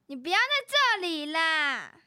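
A woman's voice: extremely high pitch, sing-song, a little slow, pushed and bright, no room sound, clean studio sound, heavily acted. A young girl acting in a children's radio drama as a bossy, scheming big sister. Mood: annoyed, bossy. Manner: bossy, coaxing.

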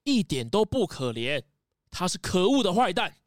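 A man's voice: high-pitched, sing-song, moderate pace, no room sound, clean studio sound. An actor playing a stern tycoon father in a children's audio drama. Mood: indignant. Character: stern, indignant father, a tycoon who softens. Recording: loud music behind.

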